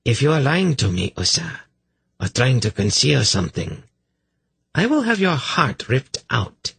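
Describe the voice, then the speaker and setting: A man voicing a cruel, imperious and suspicious high priestess in a fantasy audiobook, with cold, menacing, sarcastic delivery. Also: very animated, moderate pace, gravelly, fairly bright tone, husky, no room sound, exaggerated voice. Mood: suspicious, threatening. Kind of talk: fantasy audiobook character acting.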